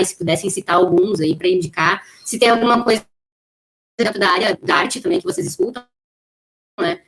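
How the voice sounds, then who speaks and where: Mid-pitched woman hosting. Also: a little hiss or hum, low-quality recording. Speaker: podcast host interviewing guests. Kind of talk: casual interview hosting.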